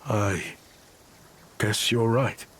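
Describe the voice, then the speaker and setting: An actor playing a remorseful, gruff outcast man in a video game, halting and subdued. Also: on the low side, steady tone, noisy background. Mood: resigned.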